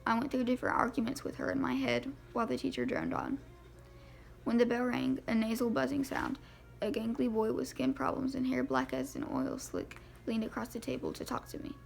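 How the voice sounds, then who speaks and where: A woman's voice: on the high side, sing-song, fairly quick, gravelly. A woman reading a first-person novel aloud as an amateur, informally and with stumbles over the words. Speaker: amateur audiobook reader.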